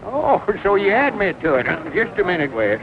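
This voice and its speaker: A man's voice: sits high, sing-song, moderate pace, creaky, exaggerated voice. An actor playing an earnest, bossy small-town country man with a rural Southern accent in a 1940s film. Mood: firm, accusing. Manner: folksy, drawling rustic speech. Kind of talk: 1940s film comedy-drama acting. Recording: tinny, noisy 1940s film soundtrack.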